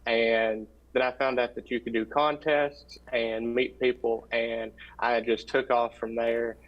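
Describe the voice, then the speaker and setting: A man's voice: mid-range voice, highs cut off. A young man from Kentucky, a university student, answering interview questions earnestly with some hesitations. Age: young adult. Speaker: student guest.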